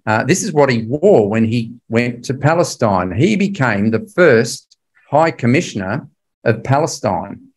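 A man's voice: mid-range voice, lively intonation, measured pace. An older man giving a Bible class history lecture in a conversational, emphatic way, often putting rhetorical questions to his listeners.

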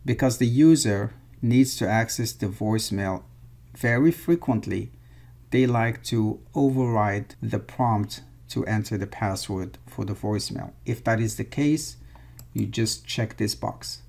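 A man's voice: fairly low pitch, a little slow, vocal fry, a little mellow. A man presenting an instructional screencast, explaining things step by step. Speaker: software tutorial presenter.

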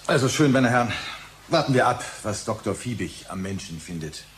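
A man's voice: medium pitch, lively intonation, normal speed, a little hiss or hum, lightly acted. An actor playing an authoritative, probing male research boss in conversational radio drama. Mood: probing, patronizing.

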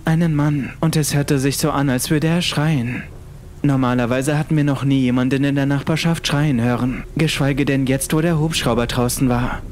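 A man's voice: medium pitch, fairly even intonation, moderate pace, slight background noise. A man reading a scripted horror story as the narrator of an audiobook. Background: music.

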